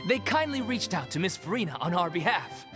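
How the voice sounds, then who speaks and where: A voice actor playing a theater troupe member who tells a story with lively delivery, over game music. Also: medium pitch, fairly quick, close to an everyday voice. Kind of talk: game cutscene voice acting. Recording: game soundtrack music behind.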